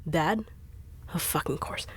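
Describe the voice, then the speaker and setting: A woman's voice: low-pitched, takes it slowly, slightly rough. A woman voice actor performing a no-nonsense character in an acted audio roleplay, blunt and sarcastic.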